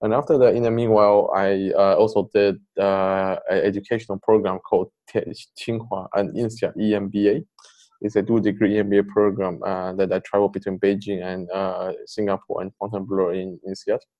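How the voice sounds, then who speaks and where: Halting, muffled low-voiced man. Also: flat delivery. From Poland. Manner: halting, many fillers and restarts. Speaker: crypto fund investor guest. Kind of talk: interview answers.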